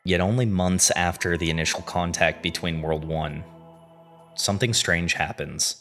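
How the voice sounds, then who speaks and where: A man with an extremely low, bright and forceful voice narrates a scripted story as a host. His phrasing is deliberate and dramatic, with pauses for weight, over clearly audible music. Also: fairly even intonation. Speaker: narrator and host of a horror series review.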